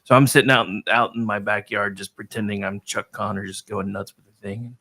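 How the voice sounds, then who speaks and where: Gravelly-voiced man chatting on radio. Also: steady tone, fairly quick, bright, forceful tone. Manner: jokey, acts out quotes in high mimicking voices. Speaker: radio show co-host. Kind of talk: casual chat. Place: radio studio.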